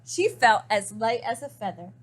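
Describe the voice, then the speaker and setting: A woman with a high voice reads a children's picture book aloud. Her delivery is expressive, leaning on key words and pausing for drama. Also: slightly rough. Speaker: story reader.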